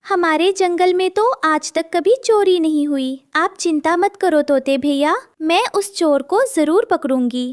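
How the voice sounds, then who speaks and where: High-voiced woman as a wise little bird. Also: unhurried, few pauses, no room sound, clean studio sound. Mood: concerned, resolute. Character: sensible, helpful, determined female sparrow sleuth. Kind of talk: Hindi moral-story cartoon dialogue.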